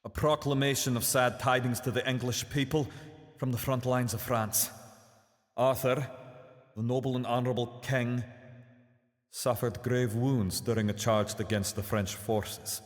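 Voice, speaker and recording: An actor playing an honorable knight who challenges the proceedings with indignant suspicion and reads a proclamation formally. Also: male voice, medium pitch, steady tone, a little slow, in a large hall, natural voice. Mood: reading. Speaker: Sir Gawain. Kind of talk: audio drama acting.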